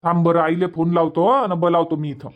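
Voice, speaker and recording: A man's voice: fairly high pitch, fairly even intonation, a little fast, close to an everyday voice. A man voicing an exasperated village husband in a cartoon comedy, insistent and repetitive, with a Varhadi Marathi accent. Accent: Varhadi Marathi from Vidarbha.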